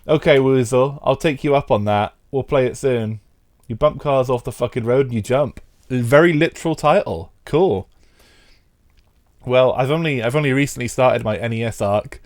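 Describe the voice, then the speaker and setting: A man's voice: mid-range voice, a little mellow, dry recording, studio quality. A man with a stuffy head cold, chatting casually to live viewers while playing an old racing game. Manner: rambling, chatty, talks to chat viewers. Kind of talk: casual live-stream commentary.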